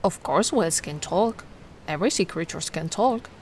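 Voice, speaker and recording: A woman voicing a giant, sweet and curious young mermaid in an ASMR roleplay, gushing and doting in a gentle, cooing way. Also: on the low side, animated, normal speed, natural voice. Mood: playful, sweet. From Italy. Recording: noticeable ambient background noise.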